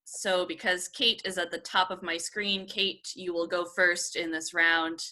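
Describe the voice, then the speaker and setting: A woman's voice: fairly low pitch, level tone, a little slow, sharp tone. A woman hosting a comedy panel game show over a video call from home. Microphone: video call microphone.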